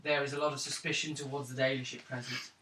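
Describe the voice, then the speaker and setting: A man's voice: mid-range voice, level tone, quick pace, bright, forceful tone. Male game master, improvised tabletop narration; roomy, distant sound with noticeable noise. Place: indoor room around a gaming table. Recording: echoey room, distant mic, noticeable background noise.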